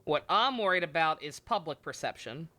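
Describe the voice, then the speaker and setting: A woman's voice: deep voice, animated, normal speed, bright, forceful tone. A woman streaming a game with casual live commentary, blunt and slangy, swearing freely.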